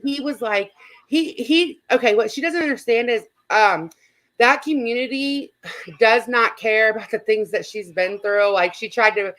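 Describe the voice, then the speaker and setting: Higher-voiced woman hosting, rambling and emphatic. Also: moderate pace, fairly bright tone, rough recording. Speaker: live stream host. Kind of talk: casual reaction commentary.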